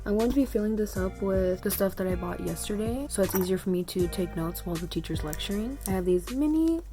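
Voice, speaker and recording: A woman's voice: medium pitch, expressive, quick pace, music in the background. A young woman chatting casually in a home vlog, rambling with fillers while her phone camera sits on the couch. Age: young adult. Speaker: student vlogger. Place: home room under a loft bed.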